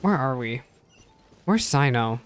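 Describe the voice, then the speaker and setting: Male gameplay streamer: average pitch, offhand and self-deprecating commentary, slight background noise on the stream. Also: lively intonation, takes it slowly. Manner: self-deprecating, thinking aloud. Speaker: game streamer. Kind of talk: casual live gameplay commentary, reading game text aloud.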